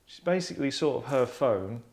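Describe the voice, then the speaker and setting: Deadpan, rambling man hosting. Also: mid-range voice, fairly quick. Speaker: host and narrator of a table-read show. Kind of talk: casual group discussion. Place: small furnished lounge, indoors.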